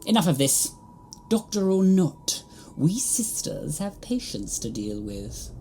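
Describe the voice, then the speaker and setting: Woman as low-voiced, scornful cat nun. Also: sing-song, slow, dark tone, a little hiss or hum, full character voice. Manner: haughty, commanding. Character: stern, hostile female cat nun, scornful and imperious. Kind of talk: audio drama acting.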